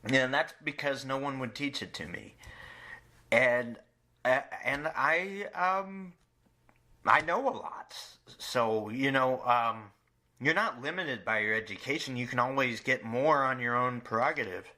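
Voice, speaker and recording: A man hosting a podcast in casual chat, speaking in a hesitant, halting way. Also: medium pitch, bright, forceful tone. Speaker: podcast host, interviewer.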